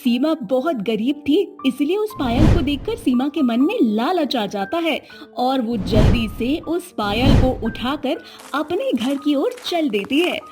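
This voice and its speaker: A woman's voice: high-pitched, lively intonation, unhurried, over music. A woman reading scripted narration as a storyteller.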